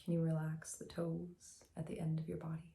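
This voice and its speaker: Low, gravelly woman guiding meditation. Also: completely flat delivery, roomy sound. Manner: gentle, soothing, unhurried with long pauses. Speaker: meditation teacher. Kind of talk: guided meditation, soft instructional narration.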